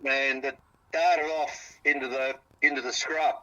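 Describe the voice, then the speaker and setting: Bright-voiced Australian man, halting. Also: mid-range voice, level tone, dry recording, lo-fi sound. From South Australia. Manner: halting, repeats words while recounting. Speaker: interviewee, eyewitness. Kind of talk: phone interview. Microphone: phone on speaker.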